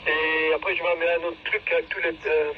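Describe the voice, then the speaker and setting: A man's voice: fairly high pitch, monotone, brisk. A man with a Belgian French accent chatting casually over two-way radio in a rambling, hesitant way, repeating himself. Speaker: amateur two-way radio user. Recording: dull lo-fi two-way radio, clear noise, distant.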